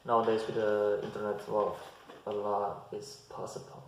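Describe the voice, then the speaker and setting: Slow, gravelly man in an echoey room. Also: lowish voice, completely flat delivery, a little hiss or hum. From Belgium. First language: Dutch. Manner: slow, hesitant, with frequent restarts and fillers. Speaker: language-learning vlogger. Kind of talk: casual advice to camera. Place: bare indoor room. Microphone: fixed camera at desk distance.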